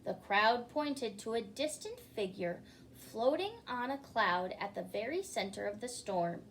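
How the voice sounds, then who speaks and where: A woman reads a children's story aloud as a storytime host, theatrical and addressing her listeners directly. Her voice is high and swoops expressively, and she takes her time, with faint noise behind her. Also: expressive, measured pace, poor audio.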